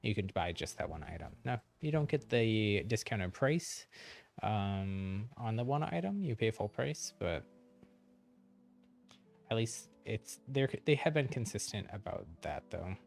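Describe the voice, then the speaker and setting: A man hosting a game livestream, explaining things casually in a rambling, easygoing way with a gravelly voice. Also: lowish voice, sing-song, a little slow, faint music behind.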